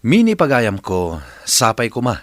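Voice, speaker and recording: A man's voice: medium pitch, wildly swooping pitch, measured pace, no echo. A man with a Filipino accent hosting a radio drama, giving scripted narration and advice in an earnest manner.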